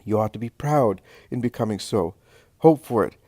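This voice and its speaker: A man's voice: on the low side, animated, normal speed, warm tone, no room sound, clean studio sound, close to an everyday voice. A man voicing a fierce, defiant woman of imperious faith, commanding and thundering, in a dramatic story reading. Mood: scornful, proud.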